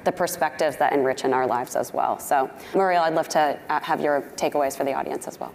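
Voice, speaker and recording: A woman's voice: low-pitched, fairly quick, vocal fry, darkish tone. A woman moderating a panel discussion, upbeat and outgoing as she puts questions to the speakers. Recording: hall reverb with faint noise.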